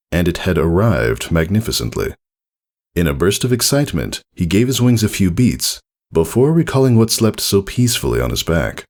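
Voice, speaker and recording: A man with a low, gravelly voice narrates a story. He reads in a measured, deliberate manner, with expressive rises and falls that keep the storybook prose moving. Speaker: narrator reading fan fiction.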